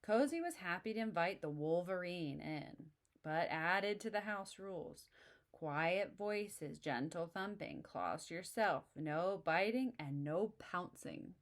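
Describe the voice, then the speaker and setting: A woman reads a children's picture book aloud and often stops to talk through the pictures with young listeners. Her voice is slightly low, and she explains things in a friendly way. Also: expressive, slow pace.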